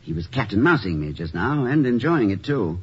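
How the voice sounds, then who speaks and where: An actor playing an erudite, dignified college president with wry, formal eloquence in a 1950s radio comedy-drama. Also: male voice, fairly low pitch, sing-song, normal speed. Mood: reflective, rueful. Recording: muffled, noisy old 1950 radio recording.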